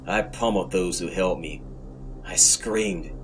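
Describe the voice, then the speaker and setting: A man narrating horror fiction as host, in a scripted, dramatic and brooding manner with deliberate pauses. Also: sits low, over music.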